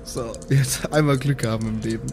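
A man's voice: medium pitch, fairly even intonation, brisk, darkish tone, a little hiss or hum. A man chatting casually as a player at a tabletop role-playing game.

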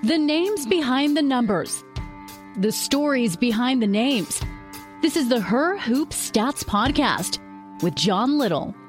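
A woman's voice: high voice, lively intonation, slow pace, soft background music. A woman announcing a scripted show introduction.

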